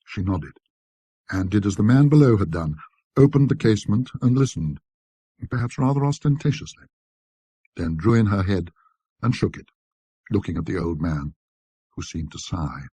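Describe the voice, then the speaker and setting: A man reading a classic ghost story as a scripted narration, in a precise, scholarly, measured manner. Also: lowish voice, very animated, dark tone, slightly rough, no echo.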